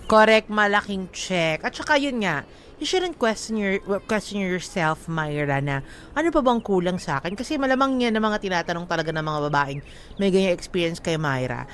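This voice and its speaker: A woman's voice: medium pitch, lively intonation, normal speed, a bit bright, slight background noise. A woman with a Filipino accent co-hosting a radio show, reading letters and giving advice in an emphatic way. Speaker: radio co-host. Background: background music.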